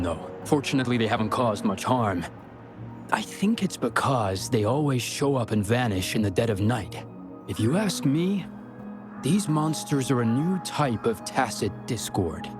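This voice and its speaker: An actor playing a helpful mine patrol guard in a video game, measured and official, with music behind him. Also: male voice, medium pitch, natural voice. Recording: music under the voice.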